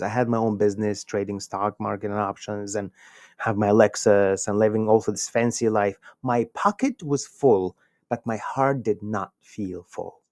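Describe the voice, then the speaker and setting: A man in his forties from Egypt, a podcast guest telling a personal story in an animated way, chuckling often. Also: lowish voice, no room sound, clean studio sound. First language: Egyptian Arabic. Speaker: podcast guest, communication researcher. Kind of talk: conversational storytelling.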